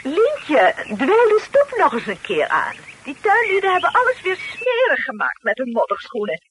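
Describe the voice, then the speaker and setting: An actress playing a fussy, bossy middle-aged aunt in a radio drama, chattering and flustered. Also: female voice, very high voice, huge pitch swings, normal speed, a bit bright, heavily acted. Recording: noisy old radio play recording.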